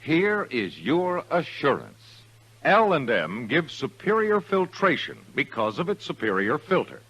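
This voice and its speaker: A man's voice: on the high side, sing-song, a little slow, a bit bright, husky, highs cut off. A man reading a 1950s radio advertisement as an announcer, with an emphatic, polished sales delivery. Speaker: commercial announcer.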